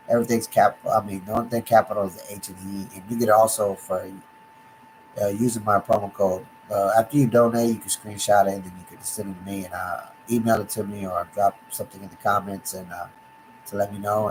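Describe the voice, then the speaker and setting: A man with a raspy voice from feeling sick, rambling casually about betting picks and promo codes with lots of fillers. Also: on the low side, a little hiss or hum, low-quality recording. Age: adult. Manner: rambling, many fillers and restarts. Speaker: sports betting tipster.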